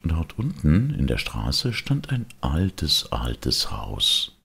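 A man reading a fairy tale as an audiobook, in an expressive storytelling manner. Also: a bass voice, very slow, gravelly, breathy edge. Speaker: audiobook reader. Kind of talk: scripted fairy-tale narration.

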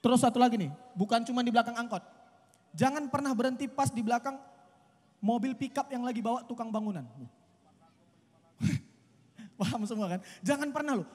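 High-voiced young man doing stand-up. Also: moderate pace, long pauses, in a large hall. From Medan, Indonesia. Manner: chatty, animated storytelling with acted quotes. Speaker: stand-up comedian. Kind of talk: stand-up comedy set. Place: outdoor stage at a night event. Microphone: handheld mic.

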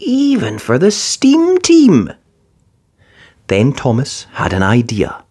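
A narrator reading a children's picture book aloud in an expressive storytelling style. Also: mid-range voice, slow, breathy edge.

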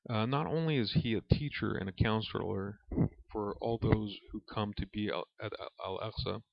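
A man reading aloud from a spiritual book as a lesson, in a measured, reverent manner. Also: on the low side, gravelly. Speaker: reader and religious teacher. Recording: dull, lo-fi recording in an echoey room.

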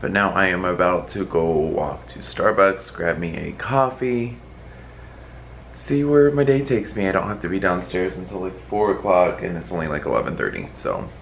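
A young man with a stuffed-up, congested nose talks chattily to his phone camera, low-voiced and at ease. He drifts through casual asides about his day. A faint background hum sits under him. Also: moderate pace, gravelly. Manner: chatty, relaxed, rambling asides. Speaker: flight attendant vlogger. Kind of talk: casual travel vlog to camera.

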